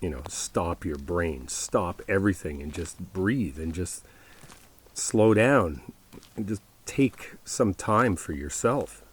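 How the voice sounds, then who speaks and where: A man playing a caring, laid-back best friend in an ASMR roleplay, speaking conversationally with hesitant, reflective pauses. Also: low-pitched, lively intonation, breathy edge, full character voice. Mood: earnest, reassuring.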